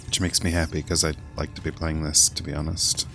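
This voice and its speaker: A man's voice: rumbling low, little pitch movement, a little fast, vocal fry, a bit bright, soft background music. A man giving casual live gameplay commentary as a streamer, rambling and thinking aloud. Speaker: game streamer.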